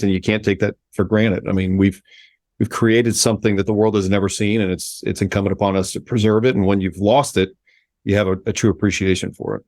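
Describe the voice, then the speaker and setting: A man in his forties from Miami, a native Spanish speaker, speaks English in a low, grounded voice. He sounds earnest and reflective and often restarts his sentences mid-thought. The recording is close and dry, with no room sound. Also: a little mellow, no room sound. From Miami, United States. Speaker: guest, sports executive. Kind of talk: conversational interview.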